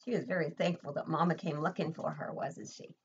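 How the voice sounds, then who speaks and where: A woman's voice: low voice, steady tone, a little fast, slightly rough, dull audio. An older woman, a preschool teacher, reading a picture book expressively to young children and asking simple questions, recorded indoors at home. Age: older, 60s or above.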